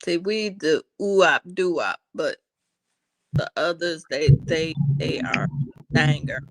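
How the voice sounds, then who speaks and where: A woman's voice: low-pitched, slow pace, no echo, low-quality recording. A sleepy woman, giving easygoing, amused replies in casual chat. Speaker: church praise team member.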